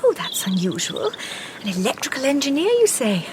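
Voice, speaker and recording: An actress playing a composed, shrewd Victorian lady detective in a radio drama, polite and quietly ironic. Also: high voice, huge pitch swings, normal speed, background noise.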